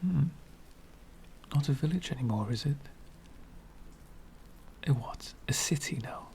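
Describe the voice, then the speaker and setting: An elegant centuries-old vampire lord, acted by a man, speaks in a soft ASMR roleplay. His delivery is very slow and slips often into whispers, with a gravelly fry underneath. He is courtly, wry and faintly condescending toward mortals, over faint background ambience. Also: mid-range voice, lively intonation, a little hiss or hum. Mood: curious. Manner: soft-spoken, often dropping into breathy whispers; courtly, archaic, gently condescending.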